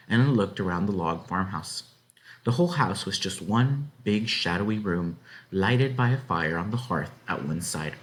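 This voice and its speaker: A man narrates a storybook aloud in a fairly low voice. His delivery is expressive, with very animated swings in pitch.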